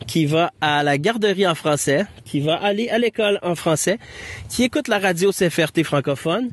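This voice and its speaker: A man giving a matter-of-fact video testimonial. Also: fairly high pitch.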